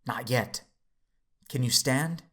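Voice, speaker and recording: Man as measured, weary Jedi, medium pitch. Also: sharp tone. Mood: somber, reassuring. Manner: measured, earnest. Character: steady, weary male Jedi father and narrator. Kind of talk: first-person dramatic narration and acting.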